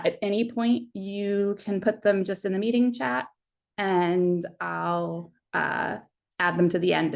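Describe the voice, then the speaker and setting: Low-voiced woman hosting a webinar, gravelly edge. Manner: friendly, many "uh" fillers. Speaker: webinar host. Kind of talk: casual online meeting hosting. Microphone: video call.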